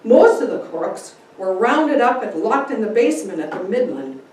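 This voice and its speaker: A woman's voice: fairly high pitch, big pitch swings, moderate pace, darkish tone, pitched above the performer's own voice. A woman playing a folksy frontier father who tells his tale emphatically in a dramatic story reading. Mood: animated, amazed. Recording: very echoey room, distant mic, faint noise.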